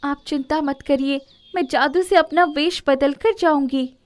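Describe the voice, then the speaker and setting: A very high-voiced young fairy maiden played by a woman from an animated storybook tale. She speaks politely and earnestly, with formal wording and dramatic, expressive delivery. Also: unhurried. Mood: urgent, confident.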